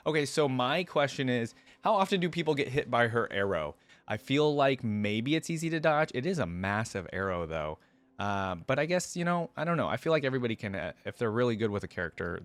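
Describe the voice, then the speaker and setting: A man's voice: mid-range voice, a bit bright, no echo. A man giving casual, conversational reaction commentary on a video game, rambling with frequent asides.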